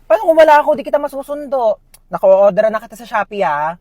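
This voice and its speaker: A woman's voice: a little high, expressive, fast talker, darkish tone. A woman doing a nagging middle-aged aunt. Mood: scolding. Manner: scolding, stretches words for emphasis. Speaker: comedy sketch performer. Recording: clear background music. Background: music.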